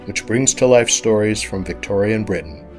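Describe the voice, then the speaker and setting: A man with a fairly low voice reads a scripted programme introduction as an announcer. Music plays clearly behind him. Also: moderate pace. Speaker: announcer introducing a podcast drama. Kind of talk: scripted narration. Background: prominent music.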